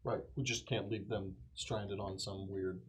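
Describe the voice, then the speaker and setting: A man's voice: sits low, fairly even intonation, measured pace. A man talking through plans in character as a player in a tabletop role-playing game.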